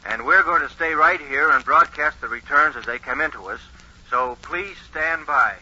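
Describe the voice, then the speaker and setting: An actor playing an earnest 1920s radio announcer in a radio drama, reading out figures with deliberate emphasis. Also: male voice, a little high, bright, forceful tone, breathy edge, heavily acted. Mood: brisk, businesslike. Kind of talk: 1940s radio drama acting, newsreader delivery. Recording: muffled vintage 1940s radio recording.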